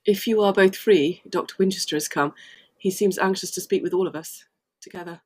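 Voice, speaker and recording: An actress playing a polite, concerned young gentlewoman in a gothic audio drama. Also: on the low side, a little fast, slightly rough, no room sound.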